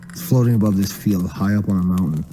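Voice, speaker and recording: A man's voice: deep voice, fast talker. A man doing spoken-word storytelling in a slangy, profane, casual manner.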